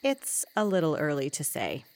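An actress playing a wry, kindly older small-town mother in an audio drama, talking in a folksy, gently teasing way. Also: sits low, huge pitch swings, moderate pace. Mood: wry, consoling. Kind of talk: naturalistic audio drama acting.

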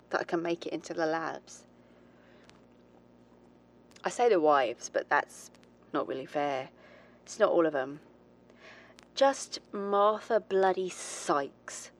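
An actress playing a frustrated, dry-witted woman physicist, confiding precisely and wryly in a recorded diary for an audio drama. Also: deep voice. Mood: wry, weary. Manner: wry, clipped, confiding, precise.

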